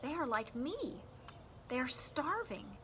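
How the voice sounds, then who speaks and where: A woman voicing an earnest, excitable stranded boy in a storybook read-aloud, with emphatic, drawn-out delivery. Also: sits high, a little hiss or hum, exaggerated voice. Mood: wistful.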